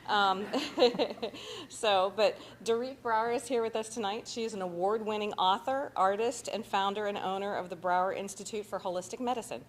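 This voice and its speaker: A woman's voice: mid-range voice, moderate pace. Woman hosting, reading a speaker introduction. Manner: reads from notes, paraphrasing with hesitations. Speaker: host. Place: arts center event, live audience and stream.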